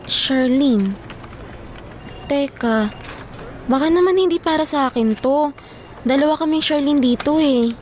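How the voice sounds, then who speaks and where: Young office worker, very high voice, Filipino accent, flustered, exasperated, emotional audio drama delivery. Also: female voice, a little slow, pauses often, darkish tone, a little hiss or hum. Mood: puzzled. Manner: pleading, emotional, indignant.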